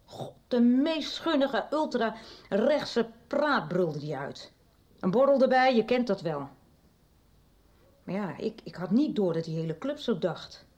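A woman's voice: on the high side, big pitch swings, a little slow, a little mellow. A confiding middle-aged woman. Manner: confiding, chatty storytelling with hesitations. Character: middle-aged woman confessing her past. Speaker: radio drama character. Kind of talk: 1990s radio drama acting.

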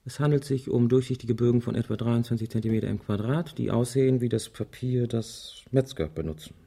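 An actor playing a wry, easygoing man in a German radio crime drama, thinking aloud methodically in a dry, deliberate way. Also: mid-range voice, vocal fry, mellow, slightly rough. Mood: analytical, dry.

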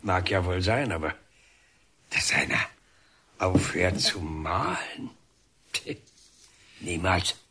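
Defiant painter, low, swooping voice. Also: male voice, lively intonation, takes it slowly, long pauses, sharp tone, slightly rough, full character voice. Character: defiant, scornful painter, earthy and eccentric man. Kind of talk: radio drama acting.

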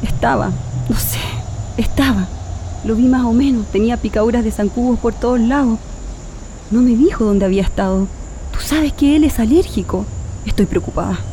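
A woman's voice: fairly high pitch, normal speed, mellow, slight background noise, close to an everyday voice, poor audio. An actress playing an anxious, tender middle-aged mother with a Chilean accent in a radio drama. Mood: preoccupied. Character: anxious, grieving Chilean mother lost in memories.

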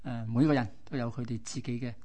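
A man's voice: medium pitch, flat delivery, quick pace, warm tone. A man with a Hong Kong accent co-hosting radio, talking reflectively and explaining things in a conversational storytelling way. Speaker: radio co-host. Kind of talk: conversational radio storytelling about local history.

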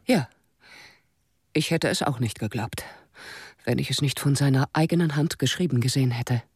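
A husky, grave mystery character. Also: male voice, mid-range voice, normal speed, no echo, studio quality. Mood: grave, regretful. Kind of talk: German radio drama dialogue.

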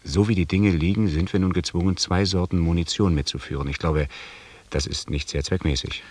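Deep, husky, formal army lieutenant. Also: male voice, steady tone, fairly quick, darkish tone, far from the mic. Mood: deferential, earnest. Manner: formal, deferential, precise. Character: dutiful, formal, meticulous European army lieutenant. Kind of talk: German radio drama acting.

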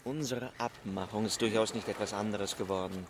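Man playing cynical hustler, coolly philosophical, deepish voice, sardonic, radio drama, clear background noise. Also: fairly even intonation, fairly quick, lightly acted. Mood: challenging. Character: cynical, scheming male swindler, coolly philosophical.

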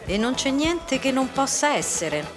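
A woman's voice: high-pitched, very animated, unhurried. An actress playing a dry, irritated, argumentative female party hostess in a radio drama with inner monologue. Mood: argumentative, sardonic. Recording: music behind the voice.

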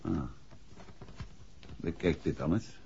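A man playing a patient, middle-aged gentleman detective who questions in a measured, coaxing way in a 1960s radio play. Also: very deep voice, vocal fry, a little hiss or hum, heavily acted. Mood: inquisitive. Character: probing, gentlemanly male detective, patient and suave. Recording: dull 1960s radio drama recording.

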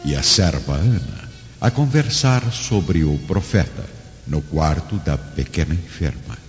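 A man's voice: a bass voice, expressive, measured pace, full character voice. A man narrating a scripted radio drama in a solemn storytelling manner. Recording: dull audio, long reverb, music behind.

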